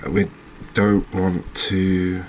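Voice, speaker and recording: A man giving soft-spoken ASMR commentary as he plays a video game, in a measured, gentle, chatty manner. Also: low voice, monotone, a little hiss or hum. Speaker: host playing a fishing video game.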